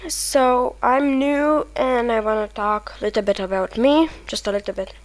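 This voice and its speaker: A woman from Lithuania with a Lithuanian accent, casually commenting while she plays a video game and reacting excitedly to it. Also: fairly high pitch, normal speed, dry recording. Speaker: let's play gamer.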